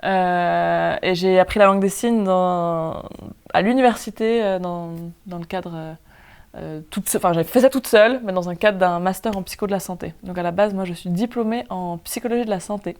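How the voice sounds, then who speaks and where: A woman's voice: on the low side, a little mellow, clean tone. A young woman born in Spain, an improv performer, answering interview questions casually with many fillers. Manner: hesitant, many fillers, quick asides. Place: outdoors at a campsite lake.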